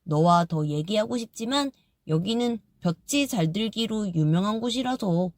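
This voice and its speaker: A gentle, curious tree speaks calmly and kindly, as a woman puts it on. The voice sits lower than the other figures, and the recording is dry and close, like a storybook. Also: moderate pace, no room sound, lightly acted.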